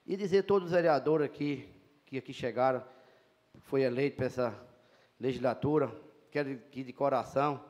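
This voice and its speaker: A middle-aged man with a Bahian Portuguese accent, giving a formal, emphatic inauguration speech as a city councilman in a council chamber, speaking into a handheld mic at a lectern. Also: mid-range voice. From Brazil. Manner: formal, emphatic, repetitive phrasing.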